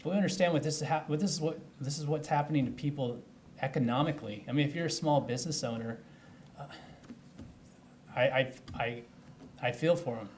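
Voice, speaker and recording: A man's voice: fairly high pitch, fairly quick, long pauses, a little mellow, a little hiss or hum. Earnest man official at a podium. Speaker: county official. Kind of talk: press conference answers. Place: indoor press room at a podium. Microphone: podium microphones.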